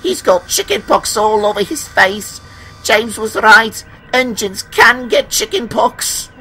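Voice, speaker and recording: A man acts out a mischievous young engine from a children's storybook. The voice is raised high and swings about excitably, with music clearly playing behind. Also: takes it slowly, exaggerated voice, rough recording. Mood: alarmed. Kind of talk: children's storybook character acting.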